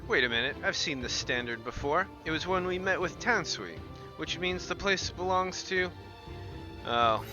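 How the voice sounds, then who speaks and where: A man's voice: on the high side, lively intonation, normal speed, lo-fi sound, music in the background, voice pushed up, natural voice. A man voicing an eager, chatty young woman adventurer while reading fantasy game dialogue aloud. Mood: eager, musing.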